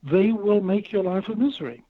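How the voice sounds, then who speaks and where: A man with a high voice speaks as a professor guest, pressing his points with measured emphasis and repetition. The sound is dry and a little dull. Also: animated, no echo. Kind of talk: talk radio discussion.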